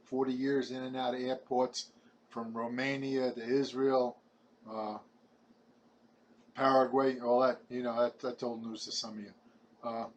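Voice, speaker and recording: A man's voice: mid-range voice, lo-fi sound, slight background noise. A man in his seventies from Brooklyn with a New York accent, talking to camera in a rambling personal testimony, often repeating his words. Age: 70s. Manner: halting, repeats words, chatty and preachy.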